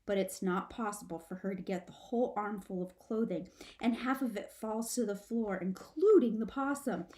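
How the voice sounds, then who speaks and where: A woman reading a children's book aloud like a teacher, with dramatic, expressive shifts of emphasis. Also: mid-range voice, normal speed. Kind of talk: expressive storybook read-aloud.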